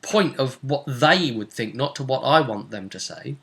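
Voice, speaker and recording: A man's voice: medium pitch, lively intonation, moderate pace, fairly bright tone. A man casually discussing a tabletop role-playing game as its game master, often checking with tag questions.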